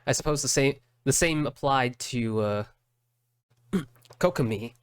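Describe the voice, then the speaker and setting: A man giving casual reaction commentary as a streamer, halting and often repeating his words. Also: medium pitch, clear, resonant tone, no room sound.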